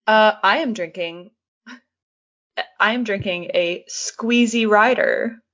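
Brief: woman co-host, bright tone, laughs a lot, eager, slightly lo-fi. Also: medium pitch, slow pace. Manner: laughs often, swears casually, enthusiastic reactions. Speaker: podcast co-host. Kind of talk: casual podcast chat.

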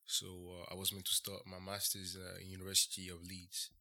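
A young man with a French accent, speaking in a very deep, gravelly voice with a bright, forceful edge. He talks in a flat, even tone and pauses often on "uh" as he tells his story. This is casual interview talk from a podcast guest. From France. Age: young adult. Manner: measured, pauses with frequent "uh" and "um".

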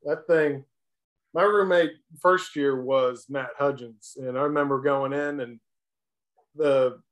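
A man's voice: mid-range voice, fairly even intonation, slow. A man, a former ballplayer, chatting casually and telling stories as a podcast guest. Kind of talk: casual chat, storytelling.

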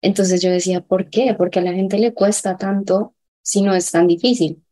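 Female podcast host, friendly, easy laugh, warm and mellow tone. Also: medium pitch, fairly even intonation, moderate pace. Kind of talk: conversational interview.

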